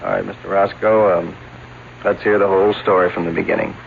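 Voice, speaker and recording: A man's voice: deep voice, little pitch movement, fairly quick, vocal fry. A man playing a stern, dry police lieutenant questioning a witness in an old radio drama. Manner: hesitant and apologetic as clerk, then dry and stern. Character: man posing as coroner's clerk, then a dry police lieutenant. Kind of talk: 1970s radio drama acting. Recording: dull old radio broadcast with hiss.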